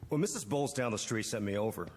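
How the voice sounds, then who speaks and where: An actor playing a polite, hesitant young American gardener in a radio drama. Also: male voice, fairly low pitch, lively intonation, fast talker, a little mellow, big-room sound, slight background noise, lightly acted. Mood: tentative. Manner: polite, tentative.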